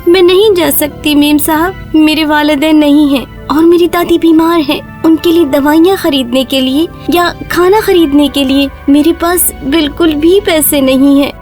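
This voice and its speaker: A woman's voice: high-pitched, steady tone, hardly any pauses, darkish tone, faint music behind, close to an everyday voice. A woman voicing a poor, polite eight-year-old orphan girl, pleading earnestly in a children's cartoon story.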